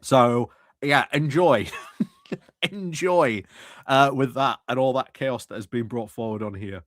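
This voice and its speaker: A man's voice: mid-range voice, a little slow, a bit bright, dry recording. Sardonic man commentating, close and dry. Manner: sardonic, scornful, repeats phrases for emphasis. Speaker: streamer commentating on a council meeting. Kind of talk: casual live political commentary.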